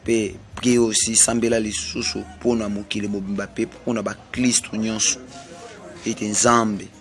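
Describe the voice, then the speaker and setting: A man from Congo with a Congolese French accent, delivering a slow, repetitive prophetic sermon. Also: on the low side, level tone. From Congo (DRC). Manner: slow, repetitive, emphatic pronouncements. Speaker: self-styled prophet.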